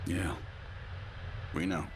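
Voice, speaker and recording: An actor playing a wry, upbeat, wisecracking buddy in a sci-fi audio drama. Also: male voice, drawn-out words, background noise.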